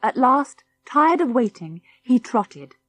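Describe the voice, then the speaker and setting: A British woman reading a children's story as an audiobook narrator, with dramatic, clearly articulated delivery. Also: on the high side, sing-song, takes it slowly.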